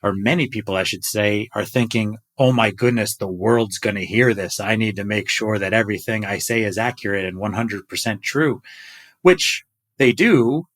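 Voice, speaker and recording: A man's voice: fairly low pitch, normal speed, a bit bright. A middle-aged man hosting a podcast, talking in an earnest, reflective way. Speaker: podcast host. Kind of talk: casual podcast chat.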